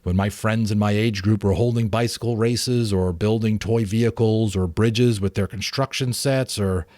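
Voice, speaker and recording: Gentle, earnest father played by a male reader. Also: fairly low pitch, fairly even intonation, normal speed, dry recording, clean studio sound, lightly acted. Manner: earnest, reminiscing. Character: gentle, shy, quiet father telling his childhood memories.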